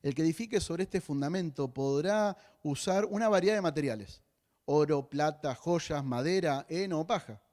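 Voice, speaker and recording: A man's voice: fairly high pitch, moderate pace, a little mellow. A man with a Rioplatense Spanish accent preaching a sermon as a pastor, in a conversational way with joking asides.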